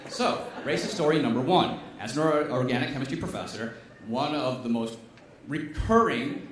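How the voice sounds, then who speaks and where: A man's voice: medium pitch, expressive, normal speed, a little hiss or hum. A man, a chemistry professor, giving a stand-up style science talk with wry, emphatic, punchy delivery. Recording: echoey room, voice from a distance.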